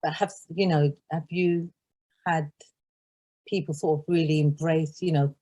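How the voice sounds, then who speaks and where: A woman's voice: deep voice, fairly even intonation, unhurried, dark tone. A woman calling in with a question, rephrasing it as she goes. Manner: searching, rephrases her questions. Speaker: caller asking a question.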